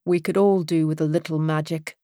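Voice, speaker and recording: A woman voicing a polite, flirtatious British man in an audiobook, courteous and softly teasing. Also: low-pitched, steady tone, a little fast, dry recording, lightly acted. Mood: friendly, flirtatious.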